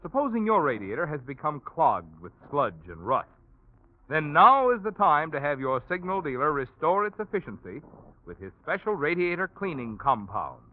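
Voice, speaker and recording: Thin, phone-like vintage broadcast sound. An American man announces in 1940s sponsor style, his fairly high voice moving with animated, salesmanlike emphasis. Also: normal speed. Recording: phone-like old radio broadcast.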